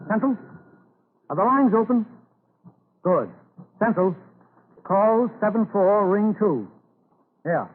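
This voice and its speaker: Resolute rural family man, high-pitched, plain-spoken and rousing, on narrow-band vintage radio. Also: breathy edge, exaggerated voice. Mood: urgent, resolute. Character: determined farmer rallying his neighbours, earnest family man. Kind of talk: 1940s radio acting. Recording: scratchy old radio broadcast, narrow band, hissy.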